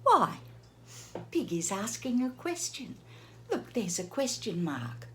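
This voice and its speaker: Slow, lively woman reading to kids. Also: medium pitch, a little hiss or hum. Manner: gentle, slow, asks the listener questions. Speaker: teacher reading to children. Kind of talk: children's read-aloud lesson.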